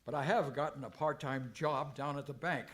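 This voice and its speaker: An actor playing a vain, easily flustered cowboy hero in an old time radio comedy. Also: male voice, mid-range voice, steady tone, normal speed, a bit bright, lightly acted. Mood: relieved, wry.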